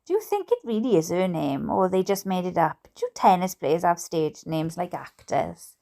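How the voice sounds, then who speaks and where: A woman's voice: fairly low pitch, sing-song, normal speed, vocal fry, mellow, voice pushed down. A Welsh woman voicing an enthusiastic, oblivious, chatty father in a storybook. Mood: musing. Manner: eager, chatty. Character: enthusiastic, easily fascinated dad, cheerfully oblivious.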